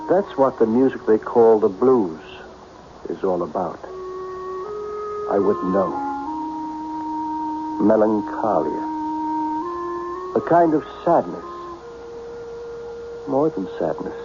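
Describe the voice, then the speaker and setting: An actor playing a lonely self-made millionaire, blunt, brooding and self-pitying, in an old-time radio drama style. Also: male voice, lowish voice. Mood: melancholy reflection. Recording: dull, noisy old radio broadcast.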